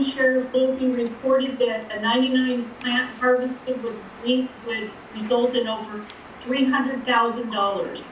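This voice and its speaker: A woman's voice: a little high, a bit bright. A woman making a blunt, indignant public comment at a meeting. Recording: echoey room, distant, faint noise.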